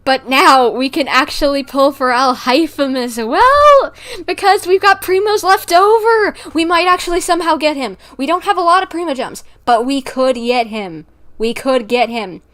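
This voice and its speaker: A woman livestreaming a game with casual, chatty commentary and an excitable manner. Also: high voice, normal speed.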